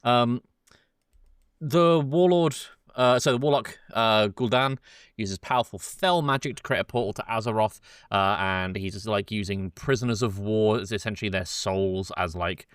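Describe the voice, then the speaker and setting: Smooth, dry-sounding man hosting casually. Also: on the low side, moderate pace, fairly bright tone, no room sound. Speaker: podcast host. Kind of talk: casual chat with loose, informal summarising.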